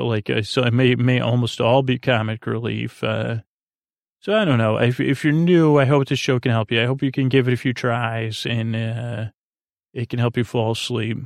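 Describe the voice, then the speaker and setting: Male podcast host for bedtime rambling: tired, even-toned delivery with vocal fry, halting and meandering. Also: medium pitch, no room sound, studio quality. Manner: meandering, halting, repeats words. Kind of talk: rambling bedtime monologue to help listeners sleep.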